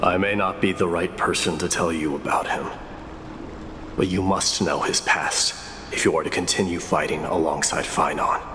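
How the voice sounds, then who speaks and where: An actor playing a proud, stern, dignified warrior in a video game, speaking formally and solemnly. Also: male voice, deep voice, fairly even intonation, noisy background, natural voice. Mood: composed, proud. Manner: formal, solemn, measured speech. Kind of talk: dramatic video game voice acting. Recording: echoey hall reverb under loud music.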